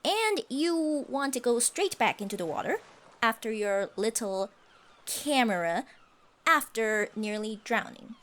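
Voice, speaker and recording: Casting: woman to play proud tsundere sea siren for roleplay audio; high, very animated voice, imperious and huffy. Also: a little slow. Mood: scornful, haughty. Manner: haughty, scoffing, flustered stammers, archaic formal phrasing. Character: haughty tsundere siren, proud, secretly smitten sea creature. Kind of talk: ASMR roleplay monologue.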